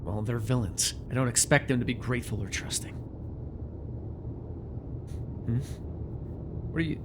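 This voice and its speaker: A man's voice: medium pitch, background noise, close to an everyday voice. A man playing a bitter, commanding telepathic villain who was once a hero, speaking with sardonic deliberation in an ASMR superhero roleplay. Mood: sardonic, irritated. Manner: sardonic, deliberate, addressing a captive.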